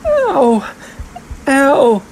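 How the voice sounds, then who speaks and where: A man's voice: sits high, very animated, measured pace, slight background noise, pitched above the performer's own voice. A man voicing a whiny, tearful little girl with wailing protests in an audiobook. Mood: pained, pleading. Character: whiny, tearful younger girl, frightened and indignant. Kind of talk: audiobook character voice.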